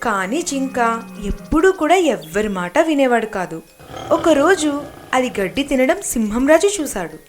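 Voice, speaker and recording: A woman narrates children's fables from a script. Her voice is very high. Faint music plays under the narration.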